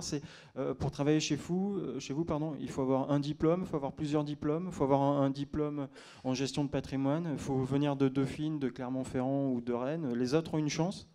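Mellow male panel moderator. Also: mid-range voice. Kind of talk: panel questioning. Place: conference auditorium stage. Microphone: handheld microphone.

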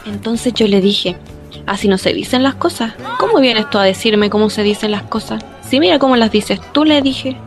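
Catty young Chilean gossip, creaky voice. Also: female voice, a little high, animated, normal speed, soft background music. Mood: chatty. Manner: chatty, colloquial. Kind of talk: radio drama acting.

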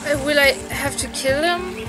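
A woman's voice: high voice, moderate pace, music in the background, close to an everyday voice. A young woman playing a hesitant, earnest girl stranded in a fairytale world, acting in an amateur film outdoors. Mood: uncertain. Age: young adult. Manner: hesitant, questioning. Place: outdoors in woodland.

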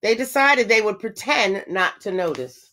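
A woman teacher reading a children's storybook aloud, with expressive phrasing and emphasized words. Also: mid-range voice, normal speed.

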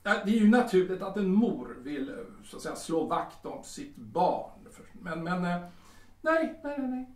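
Guy playing a smug creepy café boss in a radio play, highish voice, keeps repeating himself, bit far from mic. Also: sing-song. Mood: dismissive haggling. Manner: hesitant, oily, repeating himself. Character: smug, lecherous male Viennese café owner, haggling and menacing. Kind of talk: Swedish audio drama acting. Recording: roomy, distant from the mic.

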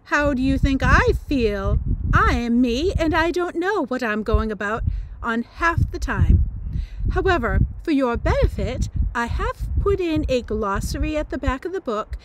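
Wry, melodramatic teenage diarist, read by an American woman, slightly high. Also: expressive, measured pace, background noise. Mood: chirpy, cheeky. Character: dramatic, cheeky, self-absorbed British teenage girl diarist. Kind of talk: expressive first-person audiobook-style reading.

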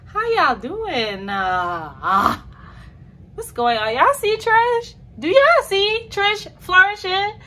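A young woman from New York talks straight to her camera in a small bedroom, relaxed and slangy. Her delivery is slow and drawn out, with a bright, forward tone. A faint hiss of room noise sits under her voice. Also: sits high. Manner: chatty, slangy, lively greetings and playful asides. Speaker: hair vlogger. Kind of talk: casual chat to camera.

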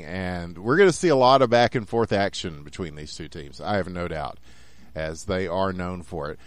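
Excitable Texan man calling a hockey game. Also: medium pitch, dry recording. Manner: excitable, rising to shouts on goals. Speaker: esports caster. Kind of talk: play-by-play commentary.

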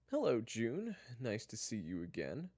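A man voicing a smug, taunting schoolboy rival with a falsely polite, smooth-talking manner in a narrated story. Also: medium pitch, huge pitch swings, measured pace, a little mellow, no echo, pitched above the performer's own voice, heavily acted. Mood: ingratiating.

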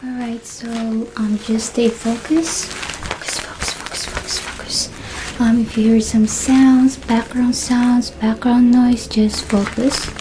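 Very slow, soft-spoken young woman, ASMR. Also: on the high side, level tone, background noise. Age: young adult. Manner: soft-spoken, often dropping into whispers, slow and deliberate; commanding, instructing the listener. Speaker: ASMR roleplay performer. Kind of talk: ASMR roleplay, giving instructions. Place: small indoor room.

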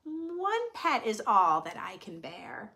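A woman's voice: medium pitch, wildly swooping pitch, takes it slowly, gravelly, bright, forceful tone, heavily acted. A woman voicing a wary, hard-to-please young boy in a picture book, answering with reluctant doubt. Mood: doubtful.

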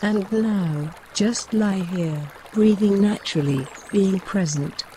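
A woman guiding a mindfulness body scan, giving gentle, measured instructions with pauses between phrases. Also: medium pitch, a little hiss or hum. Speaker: meditation guide. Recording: running stream water behind voice. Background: trickling woodland stream.

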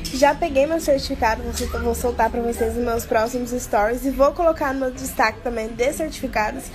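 A woman sharing excited news with her followers in a bubbly, cheerful manner. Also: on the high side, fairly quick. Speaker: course student giving a testimonial. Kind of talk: social media story.

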